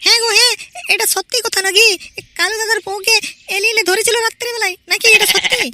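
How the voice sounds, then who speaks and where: A woman's voice: very high voice, fairly even intonation, fast, pushed and bright, full character voice. A woman voicing a naive, anxious young village wife in an amateur comedy cartoon, speaking in a pleading manner. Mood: curious. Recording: very noisy amateur cartoon audio.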